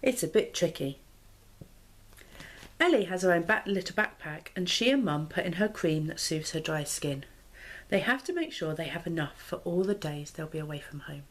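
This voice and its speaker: A woman in her thirties reads a picture book aloud. Her voice sits on the lower side and moves up and down with lots of expression. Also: expressive, moderate pace. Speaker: mother and parenting vlogger. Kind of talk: expressive children's storybook reading. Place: small living room, indoors.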